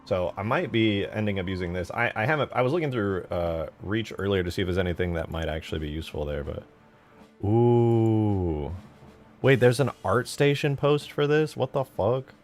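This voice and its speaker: Low-voiced man streaming casually. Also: fairly quick, few pauses, faint music behind. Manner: informal, slangy, swearing, easily excited. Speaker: live streamer and game modder. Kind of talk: casual livestream chat while demonstrating game mod work.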